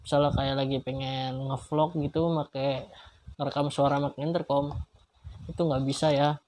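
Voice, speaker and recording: A man's voice: medium pitch, darkish tone. A man with a Jakarta Indonesian accent giving a casual, colloquial product review, often repeating himself and padding with fillers. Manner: colloquial, repetitive, filler-heavy. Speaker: vlogger reviewing gear. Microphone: modified external mic on action camera. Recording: clearly noisy homemade recording with clicks.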